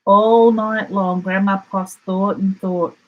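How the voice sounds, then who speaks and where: Husky, unhurried Australian woman storyteller. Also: medium pitch, narrow, tinny audio. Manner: expressive, deliberate dramatic emphasis. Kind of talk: children's picture book read-aloud.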